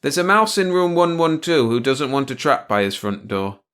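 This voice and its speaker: A man's voice: fairly high pitch, big pitch swings, normal speed, fairly bright tone, clean tone, pitched above the performer's own voice. A man voicing a plainspoken old male janitor who is matter-of-fact and unfazed in a story.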